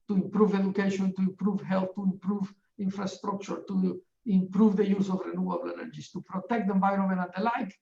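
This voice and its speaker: A middle-aged man from Ecuador gives a formal, earnest opening speech, pausing often with fillers. His voice has a gravelly edge and sounds roomy and set back from the mic. The sound is dull and muffled. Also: high voice, darkish tone. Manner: earnest, deliberate, halting with frequent fillers. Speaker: webinar chair, UN division director. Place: office in a large UN building. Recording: echoey, distant and dull-sounding.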